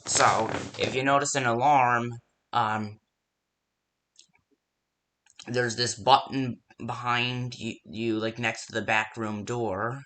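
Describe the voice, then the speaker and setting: A man's voice: mid-range voice, steady tone, a bit bright. A man playing a nervous, hesitant coworker who leaves stammered security tips by phone in an amateur horror game. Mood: hesitant, friendly. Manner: hesitant, halting, stammering delivery. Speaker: voice actor in fan-made game.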